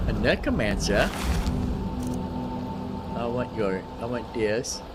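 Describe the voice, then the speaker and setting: A man's voice: mid-range voice, expressive, slow pace, loud music behind the voice. A man giving casual gameplay commentary, muttering asides and questions to himself. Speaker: gaming video host.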